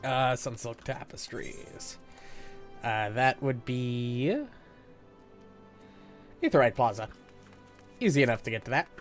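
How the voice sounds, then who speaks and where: A man's voice: mid-range voice, lively intonation, slow pace, long pauses, faint music behind. A man with a slight cold, chatting casually and hesitantly as a streamer, with lots of "uh"s. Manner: rambling, hesitant, many "uh"s. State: slight cold, a little congested. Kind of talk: casual live-stream chat.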